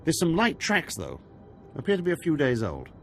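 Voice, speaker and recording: A man's voice: on the high side, huge pitch swings, normal speed, soft background music. A male actor playing a loyal, easygoing military engineer in a video game, plainspoken and respectful. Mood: reporting, businesslike.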